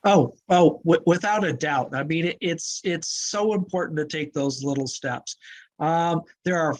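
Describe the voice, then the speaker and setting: A man with a fairly high voice, chatting as a guest about hunting. He is easygoing and relaxed. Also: unhurried. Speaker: guest hunter. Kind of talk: casual chat.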